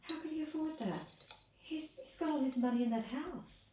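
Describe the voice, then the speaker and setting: Lady reading surprised nosy woman in a lunch scene, highish voice, roomy and distant. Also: normal speed, warm tone, a little hiss or hum, natural voice. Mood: curious, surprised. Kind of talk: reading scene lines opposite an actor. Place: indoor room, away from the mic. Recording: echoey room, off camera.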